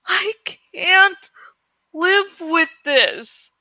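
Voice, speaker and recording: Woman voicing an anguished, high-pitched grieving boy. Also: animated, pushed and bright, dry recording, full character voice. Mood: anguished, despairing.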